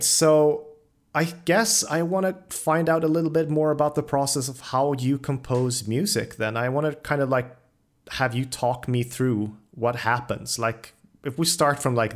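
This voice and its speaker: A man's voice: mid-range voice, steady tone, unhurried. Enthusiastic man hosting a casual interview. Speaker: podcast host and interviewer.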